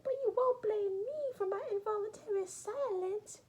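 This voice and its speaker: A woman voicing an older mother writing tenderly to her son, high-pitched and tearful, reading her letter aloud. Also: moderate pace, mellow.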